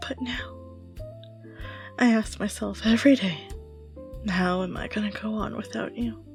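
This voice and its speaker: A woman playing a grieving lone survivor bidding a lost lover farewell in a tender, halting audio roleplay monologue, often dropping to a whisper. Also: medium pitch, vocal fry, soft background music.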